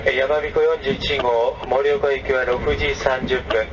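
A male train conductor making formal onboard announcements through a public address speaker, with measured phrasing and frequent filler sounds. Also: mid-range voice, little pitch movement. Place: moving overnight sleeper train. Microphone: train public address system, recorded from a passenger seat. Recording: distant, dull, noisy 2006 recording of train PA. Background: train running noise.

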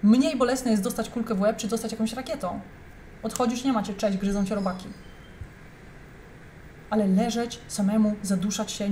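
Indignant young woman, mellow voice, faint hiss, emphatic. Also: medium pitch. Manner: indignant, emphatic, addresses viewers directly. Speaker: commentator reacting to a video. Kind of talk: heated reaction commentary.

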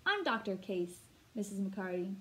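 A woman reading a storybook aloud as a jolly, helpful male doctor who talks briskly and decisively. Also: medium pitch, very animated, fairly bright tone. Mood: reassuring.

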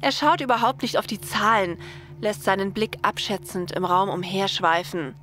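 A woman's voice: medium pitch, normal speed, a bit bright. A woman narrator reading a scripted story. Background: faint music.

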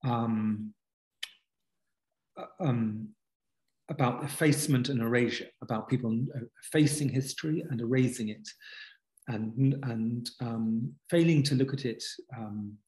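Fifties male author guest, earnest and halting, video call from home. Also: mid-range voice, darkish tone, rough recording. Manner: halting, many restarts and fillers, earnest. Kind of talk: reflective conversational interview. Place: home study with bookshelves. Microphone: video call webcam.

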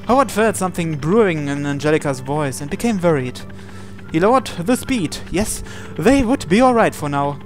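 Guy narrating a visual novel playthrough, highish voice, some casual comments, music in the background. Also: lively intonation, moderate pace. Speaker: gaming channel host reading aloud. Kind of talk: reading game narration aloud, with casual asides. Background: game music.

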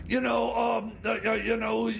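A man playing an excitable, bumbling hillbilly mechanic in radio comedy, stammering and rambling in a strained voice. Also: moderate pace, shouting, a little hiss or hum, exaggerated voice. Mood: excited, insistent. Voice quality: high, strained, creaky. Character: excitable bumbling hillbilly time machine mechanic and moonshine schemer. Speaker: radio show sidekick.

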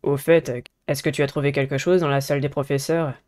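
A man voicing an aloof, sardonic teenage schoolboy in a dating game, with a cool, flat delivery. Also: medium pitch, brisk, natural voice. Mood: mocking, cool.

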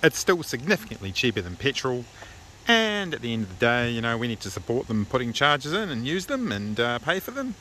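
A man with a New Zealand accent casually narrating an electric car road trip, relaxed and full of filler words. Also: mid-range voice, fairly quick. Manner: relaxed, chatty, many uh fillers. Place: inside a moving car and outdoors at chargers. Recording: noisy car and street background.

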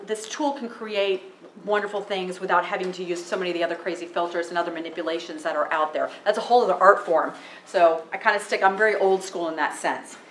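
A loud, chatty woman in her forties talks through a handheld mic in a library meeting room. Her voice rings around the room over the speakers, with a faint hum behind her. She leans on fillers as she goes. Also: mid-range voice, reverberant. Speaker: photographer giving a talk. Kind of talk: casual public talk. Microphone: handheld microphone through PA.

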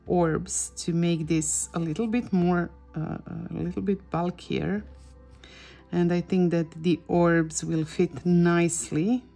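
Slow, slightly creaky Croatian woman. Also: lowish voice, a little mellow. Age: middle-aged. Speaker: drawing teacher and host. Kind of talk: casual narrated drawing tutorial.

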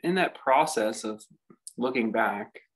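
Male meditation guide, gravelly and husky, contemplative delivery with lots of space between phrases. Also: mid-range voice, expressive. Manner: unhurried, reflective delivery with long pauses. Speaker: meditation teacher.

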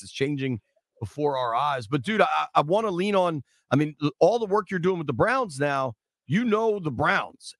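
Higher-voiced American male sports radio host. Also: fairly bright tone, no room sound. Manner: colloquial, buddy-like. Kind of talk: casual sports talk. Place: small radio studio. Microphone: close studio microphone on arm, headset.

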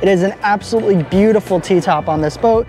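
A young man filming a walkaround boat review at arm's length in a large indoor boat show hall, talking with steady enthusiasm. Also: high voice, brisk, soft background music. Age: young adult. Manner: enthusiastic, upbeat. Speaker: boat vlogger. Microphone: handheld camera at arm's length.

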